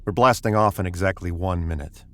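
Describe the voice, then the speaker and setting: Low voiced grim spaceship captain guy acting it, terse and in charge, old radio sci-fi style. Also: fairly quick, fairly bright tone. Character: commanding male spaceship captain, grim and urgent. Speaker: actor and story narrator. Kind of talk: old-time radio science fiction drama acting.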